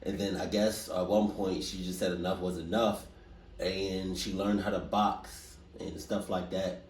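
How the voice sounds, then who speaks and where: Echoey, low-voiced man chatting. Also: flat delivery, measured pace. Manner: rambling, slangy, hesitant. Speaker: reaction video host. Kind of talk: casual, unscripted commentary. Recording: echoey, distant mic, faint noise.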